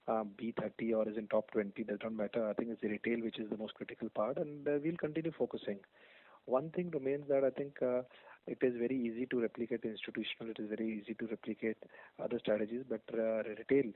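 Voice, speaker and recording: A man's voice: on the low side, no echo. A man with an Indian accent answering as an executive on a business call, speaking off the cuff with hesitant phrasing and emphatic repetition. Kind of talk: unscripted business commentary. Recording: tinny narrowband conference phone line.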